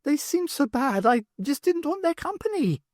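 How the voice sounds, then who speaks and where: A man's voice: extremely high pitch, sing-song, normal speed, darkish tone, no room sound, studio quality, pitched above the performer's own voice. A man voicing a resigned, mournful alien in an audiobook story. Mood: apologetic. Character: high-voiced alien, resigned and mournful, leading escape.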